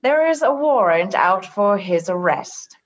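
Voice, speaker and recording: Woman playing a slow, measured, stern alien ruler. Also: mid-range voice, animated, dull audio. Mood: stern, formal. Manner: formal, measured, literal, unbending. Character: stern, cryptic alien religious and political leader. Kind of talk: sci-fi comedy radio acting.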